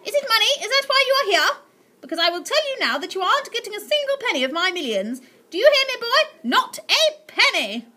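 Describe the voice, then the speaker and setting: Shrieking greedy old aunt. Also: female voice, extremely high pitch, big pitch swings, unhurried, bright, forceful tone, pitched above the performer's own voice, heavily acted. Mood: furious. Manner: sneering, bellowing threats.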